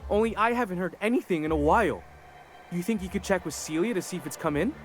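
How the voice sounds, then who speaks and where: A man's voice: high-pitched, expressive, fast, soft background music. An actor playing an eager, chummy, rambling gatekeeper clerk in a video game, a man with a friendly manner. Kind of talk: video game character dialogue.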